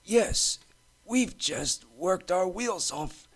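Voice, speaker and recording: A man's voice: sits high, animated, very slow, voice pushed up, heavily acted. A man voicing a weary, easily shocked old steam engine in a children's railway story. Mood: weary, put-upon.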